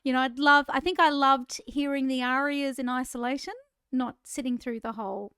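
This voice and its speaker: A woman's voice: high voice, steady tone, moderate pace, sharp tone, smooth, dry recording, studio quality. An Australian woman in her forties, co-hosting a podcast and telling her own story in a chatty, self-deprecating way. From Queensland, Australia. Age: 40s. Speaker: podcast co-host. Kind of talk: casual conversational podcast chat.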